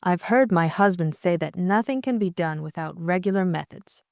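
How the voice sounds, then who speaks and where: A woman's voice: fairly low pitch, animated, moderate pace, vocal fry, darkish tone, dry recording, studio quality. A woman voicing a meek, wistful upper-class married lady in an audiobook drama, with a plaintive manner. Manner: sighing, plaintive.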